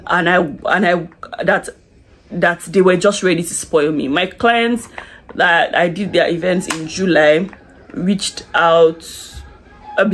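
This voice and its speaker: A woman, chatting confidingly to the camera while doing her makeup in a small room. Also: on the low side. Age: 20s. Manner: chatty, confiding, lots of restarts and "like". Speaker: beauty vlogger. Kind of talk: casual get-ready-with-me chat. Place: small indoor room with curtains. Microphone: camera in front at close range.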